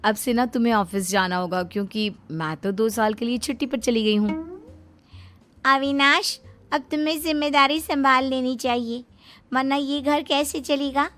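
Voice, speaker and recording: A woman voicing young married daughters who grumble in a whiny, animated way about lazy husbands, in a cartoon story. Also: high-pitched, moderate pace. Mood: earnest.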